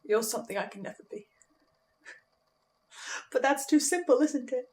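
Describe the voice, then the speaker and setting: A woman playing a troubled, self-doubting broadcaster, delivering an intense, halting dramatic monologue in which she keeps correcting herself. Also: high voice, darkish tone, natural voice. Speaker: broadcaster reacting to a fiction podcast.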